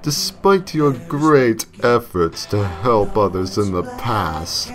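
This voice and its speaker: A man voicing the devil as a pompous, sadistic demon villain, proclaiming slowly and theatrically in a creepypasta story. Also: lowish voice, expressive, faint music behind. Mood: disgusted, gloating. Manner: slow, drawn-out, theatrical proclaiming.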